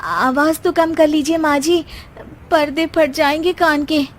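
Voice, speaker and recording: A woman's voice: sits high, a little slow, noisy background, voice pushed up. A woman playing an exasperated young daughter-in-law, politely scolding and wry, in a comic family story. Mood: alarmed. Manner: pleading, wry.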